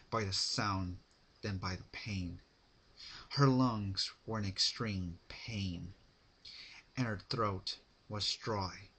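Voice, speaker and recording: Casting: male storyteller, unhurried halting delivery, bright forceful tone with a rasp, dramatic reading. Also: low-pitched. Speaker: narrator. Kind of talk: dramatic fan fiction reading.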